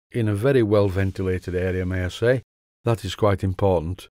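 A man's voice: lowish voice, a little mellow, dry recording. A middle-aged man narrating a hands-on workshop lesson in a chatty, methodical way with dry humour. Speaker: workshop presenter. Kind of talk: instructional voice-over narration.

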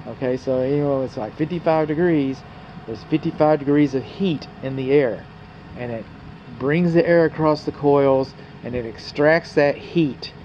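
A man's voice: fairly high pitch, moderate pace, darkish tone. A male home inspector filming a walkthrough outdoors beside a house, explaining what he sees in a folksy, digressive way. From North Texas. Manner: folksy, digressive, talks to the viewer. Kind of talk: walkthrough commentary while filming. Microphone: handheld camera mic. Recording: muffled outdoor camera audio with hum.

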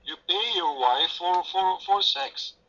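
A man's voice: high-pitched, animated, slow, sharp tone. A man whose first language is French, moderating an online debate with sarcastic, taunting remarks. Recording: lo-fi voice chat audio.

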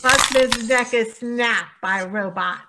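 A woman's voice: a little high, slow pace, heavy background noise. A woman playing a grave radio play announcer, reading scripted stage directions. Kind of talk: scripted narration.

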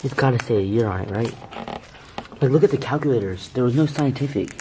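A young American man chatting casually as a vlogger, slangy and excitable, with plenty of swearing. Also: medium pitch, fast. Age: young adult. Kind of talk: casual chat while exploring. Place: inside an empty derelict building. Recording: noisy handheld camera audio.

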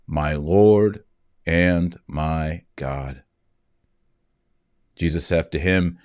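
A man in his forties reading scripture solemnly, with measured, deliberate phrasing. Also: sits low, dry recording, clean studio sound. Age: 40s. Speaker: scripture reader. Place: indoor room, seated at a desk.

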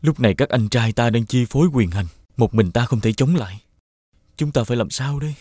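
A man playing a noble, honourable young prince in an animated fairy tale, speaking earnestly and formally. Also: medium pitch, brisk, no echo, clean studio sound, lightly acted. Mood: resolute, sorrowful.

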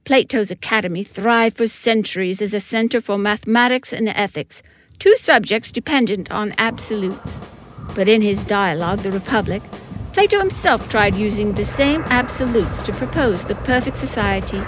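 A woman's voice: mid-range voice, normal speed. A woman reading scripted comic narration with theatrical, wry emphasis. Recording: music behind the voice.

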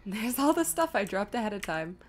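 A woman's voice: mid-range voice, big pitch swings, brisk. A woman streamer giving casual live gameplay commentary, chattily thinking aloud to herself and her viewers. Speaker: video game streamer.

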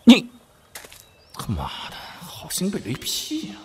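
A man's voice: high-pitched, wildly swooping pitch, a little slow, background noise, heavily acted. A man playing a crude, lecherous, easily panicked nineteen-year-old student in a comic audio drama, exclaiming and stammering melodramatically. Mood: flustered, indignant. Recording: roomy sound with music behind.

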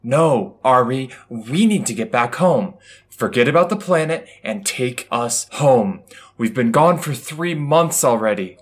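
A man's voice: medium pitch, animated, unhurried, lightly acted. A man playing an impatient, blunt space traveler in an audio drama, sounding exasperated.

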